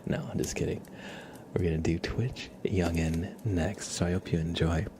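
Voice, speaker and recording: A man hosting an ASMR livestream in a soft, gentle, encouraging voice that often slips into whispering. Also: low-pitched, steady tone, moderate pace, very noisy. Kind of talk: ASMR, soft-spoken live stream with whispering.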